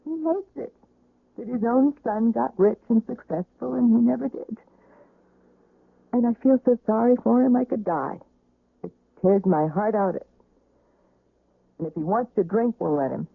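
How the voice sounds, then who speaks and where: A woman's voice: a little high, moderate pace, gravelly, pauses often, slightly rough, no echo. An actress playing a sentimental working woman who is a hospital patient, in a 1970s-style radio drama. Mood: wistful, emotional. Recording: dry, thin phone-like old radio recording.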